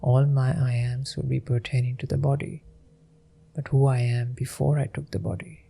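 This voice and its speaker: A man leading a guided meditation in a gentle, unhurried manner with long pauses. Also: mid-range voice, level tone, gravelly, slight background noise.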